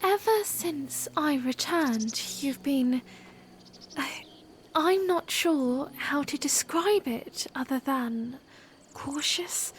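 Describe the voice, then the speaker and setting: A woman playing a shy, earnest young princess in a fan audio drama, speaking politely and haltingly. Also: sits high, expressive, slight background noise. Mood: tender, unsure. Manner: hesitant, halting, polite formal phrasing.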